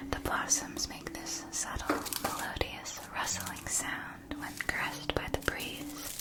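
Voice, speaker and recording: Woman doing a kindly potion shop lady, asmr roleplay, all breathy whispering, slow n careful. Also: lightly acted. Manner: slow, deliberate, gently explanatory. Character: kindly female shopkeeper of magical witch-school potion supplies.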